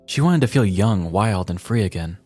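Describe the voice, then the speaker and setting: Low-voiced man narrating a comic story. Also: animated, normal speed, a little mellow, soft background music. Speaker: podcast narrator. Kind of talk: scripted comedic story narration.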